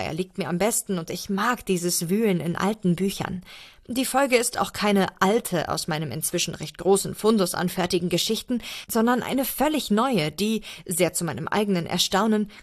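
A woman reading an email aloud as a guest reader. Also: mid-range voice.

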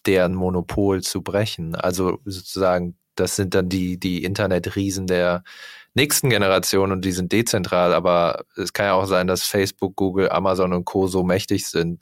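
Low-voiced male interviewer, dry sound. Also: little pitch movement, a little fast, no echo. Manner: thoughtful, probing questions. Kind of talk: conversational interview.

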